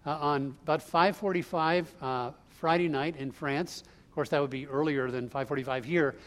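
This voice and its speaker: A man's voice: on the high side, steady tone, moderate pace, fairly bright tone. A man preaching an expository sermon, explaining scripture line by line in a conversational way. He grew up in the US Northeast.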